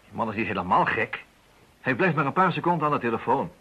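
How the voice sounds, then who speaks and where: An actor playing an eager, determined investigator in a radio play, urgent and persuasive. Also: male voice, mid-range voice, very animated, quick pace, pushed and bright, breathy edge, full character voice. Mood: exasperated. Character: eager, determined male amateur investigator, impatient with police. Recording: dull lo-fi radio drama recording.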